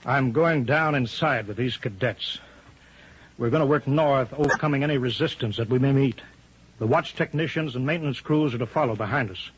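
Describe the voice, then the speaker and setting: An actor playing an urgent, middle-aged chief engineer, giving clipped, authoritative orders in 1950s radio drama style. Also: male voice, medium pitch, breathy edge, lightly acted. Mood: grim, commanding. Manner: brisk, clipped, commanding. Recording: muffled old radio recording.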